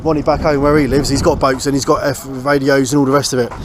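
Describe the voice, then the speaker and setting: A man's voice: level tone, fast talker. A British man giving casual, hands-on vlog commentary, thinking aloud informally as he works on a small boat. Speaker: host of a boat restoration vlog. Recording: noisy ambient sound, engine-like rumble.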